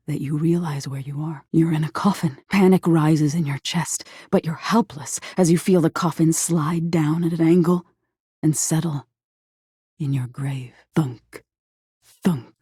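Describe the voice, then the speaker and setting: A woman voicing an eerie, thoughtful nightmare spirit in a video game, measured and breathy, often slipping toward a whisper. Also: deep voice, mellow, dry recording, studio quality, full character voice. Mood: ominous, hushed. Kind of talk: scripted game character acting, intimate second-person storytelling.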